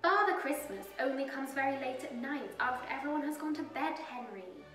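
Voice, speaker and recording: Woman as a bossy young mouse girl, high-pitched. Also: moderate pace, lightly acted. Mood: explaining. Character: young girl mouse ballerina, helpful, bossy big cousin. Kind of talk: children's storybook character voice. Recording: roomy echo, music behind.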